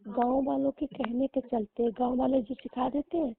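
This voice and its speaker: A woman's voice: sits high, steady tone, normal speed, vocal fry, crowd noise. A young woman confiding plaintively in a casual, intimate phone conversation. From rural Uttar Pradesh, India. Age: young adult. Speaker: girlfriend on a personal phone call. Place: phone call recording. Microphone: telephone. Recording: narrow tinny phone line, dry.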